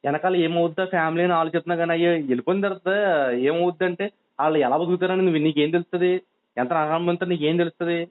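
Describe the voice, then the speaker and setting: A man with a coastal Andhra Telugu accent speaks at a racing pace over a thin phone line. His voice sits high for a man, and he keeps urging and scolding insistently, repeating his points. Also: fairly even intonation, racing, smooth. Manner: insistent, admonishing, repeats himself. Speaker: friend on a phone call. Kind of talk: urgent, pleading phone conversation. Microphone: telephone. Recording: tinny narrowband phone call.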